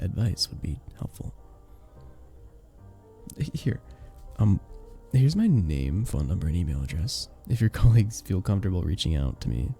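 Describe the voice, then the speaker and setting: A man voicing a sweet, earnest vampire bartender in a romantic ASMR roleplay, soft-spoken and flirtatious, with hesitant little chuckles. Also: low voice, gravelly, exaggerated voice. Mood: earnest, hopeful. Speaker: audio roleplay performer. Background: soft background music.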